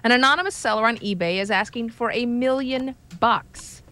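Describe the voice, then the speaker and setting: A woman's voice: a little high, moderate pace, pushed and bright. A woman hosting a morning radio show, interviewing a guest.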